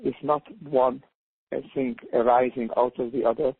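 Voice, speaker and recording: A man's voice: medium pitch, fairly even intonation, moderate pace, no echo. An older man from Hungary, speaking English with a Hungarian accent, talks earnestly on a panel as an author calling in by phone, often repeating words as he searches for them. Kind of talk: reflective panel talk by phone. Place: calling in from home abroad. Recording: husky tinny phone line.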